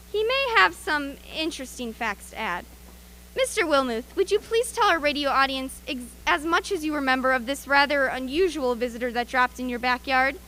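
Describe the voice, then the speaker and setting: A woman playing an eager, brisk radio field reporter in a live news report from a radio play. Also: high voice, sharp tone.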